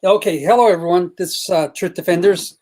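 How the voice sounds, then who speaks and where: A man with a somewhat high voice speaks as a returning guest on a talk show. He reads long quotations slowly and deliberately. Between the quotes he talks casually and fills pauses with "uh". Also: a little mellow. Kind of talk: casual talk reading from a booklet.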